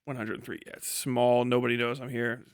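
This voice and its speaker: A man's voice: mid-range voice, little pitch movement, fairly quick, clean tone, no echo, clean studio sound. A tired man casually chatting and ranting on a livestream as its host, rambling and bluntly swearing. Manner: rambling, blunt, heavily profane. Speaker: livestream host and video creator.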